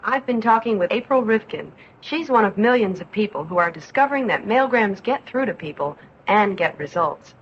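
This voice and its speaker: A woman's voice: medium pitch, expressive, normal speed. A woman interviewing for a scripted 1970s radio commercial. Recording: muffled radio recording, faint noise.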